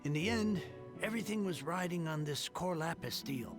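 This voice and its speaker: An actor playing a grateful, weary middle-aged merchant heir in a game, earnest and grumbling. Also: male voice, fairly high pitch, animated, normal speed, over music, natural voice.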